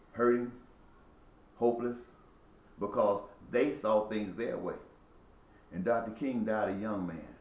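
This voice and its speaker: A man's voice: on the low side, a little mellow, roomy sound, muffled recording. A man in his sixties giving an impassioned spoken monologue with a preachy, repetitive, emphatic cadence. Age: 60s. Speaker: speaker addressing viewers.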